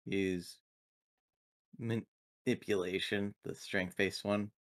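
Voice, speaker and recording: A man's voice: on the low side, steady tone, slow pace. A man chatting casually as a player in a tabletop roleplaying game.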